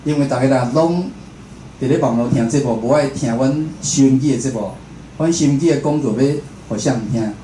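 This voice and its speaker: A Taiwanese man talks to an audience in an informal, conversational way. His voice is mellow and of middling pitch. He repeats phrases and puts questions to listeners, and the room adds echo. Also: fairly quick. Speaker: radio host and station head giving a talk. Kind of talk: informal public lecture. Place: indoor room, speaking to an audience. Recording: roomy echo, faint background noise.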